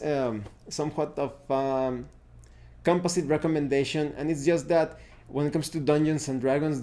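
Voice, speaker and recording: A man hosts an informal game review, talking straight to his viewers. His voice is soft-edged and mellow, sitting at an ordinary male pitch. He speaks off the cuff, often pausing and searching for the next word. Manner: hesitant, halting, searching for words. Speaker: tabletop game reviewer and host. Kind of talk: unscripted review talk to viewers.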